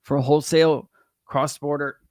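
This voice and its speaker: A man from California with a hoarse voice, casually explaining crypto payment systems as a channel host and often restarting mid-sentence. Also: on the high side, expressive, a little slow, a little mellow, no room sound, clean studio sound. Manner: rambling, restarts, reads from screen. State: hoarse, losing his voice.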